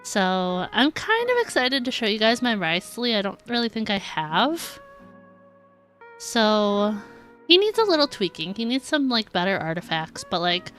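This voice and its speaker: A woman's voice: on the high side, very animated, normal speed, a bit bright. Chatty woman streamer with music behind. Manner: chatty, giggly, informal. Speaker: live streamer. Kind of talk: casual gaming livestream chat.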